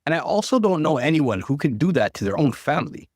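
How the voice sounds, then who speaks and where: A man reading an online story aloud as the channel's narrator, in an expressive storytelling manner. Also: fairly high pitch, fast, no room sound, clean studio sound.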